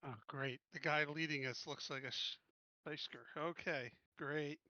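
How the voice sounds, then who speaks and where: A man plays a tabletop game, talking casually and bluntly with plenty of wisecracks. His voice is forward and bright, a bit higher than average. It is recorded dry, with slightly dulled sound. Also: steady tone, a little slow, no echo, lo-fi sound. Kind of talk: casual in-character game chat.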